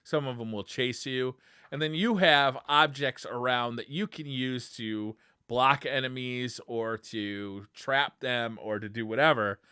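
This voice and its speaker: A man co-hosting a gaming podcast in casual chat, halting and repeating words, with "like" sprinkled throughout. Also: medium pitch, bright, forceful tone, smooth, no room sound, studio quality. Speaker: podcast co-host.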